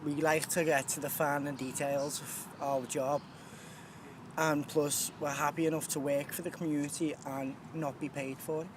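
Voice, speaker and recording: A man talks sincerely about his community work, pausing now and then. His voice sits a little high for a man. He is recorded outdoors, with clear traffic noise behind him. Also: little pitch movement. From Liverpool, England. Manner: plain-spoken, sincere, some hesitations. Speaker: community volunteer gardener. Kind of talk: interview answers outdoors.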